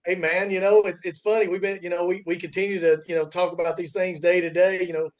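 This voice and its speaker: Rambling middle-aged man, slightly high voice. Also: flat delivery, fast. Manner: rambling, repetitive, circles back on phrases. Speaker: podcast host, freight industry veteran. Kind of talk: casual podcast chat.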